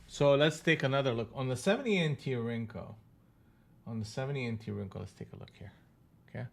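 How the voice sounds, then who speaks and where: A man's voice: mid-range voice, a little fast, vocal fry. A man hosting a live trading room, talking through chart moves in a casual way, often restarting and repeating phrases, with some mild swearing.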